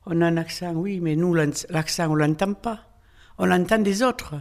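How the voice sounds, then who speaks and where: A woman chatting and telling family anecdotes in a relaxed way. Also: on the low side, moderate pace. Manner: chatty, storytelling.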